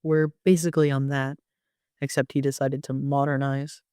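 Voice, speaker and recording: Even-toned man narrating, smooth and clear. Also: medium pitch, normal speed, dry recording, clean studio sound. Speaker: amateur narrator and author. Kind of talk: first-person audiobook narration.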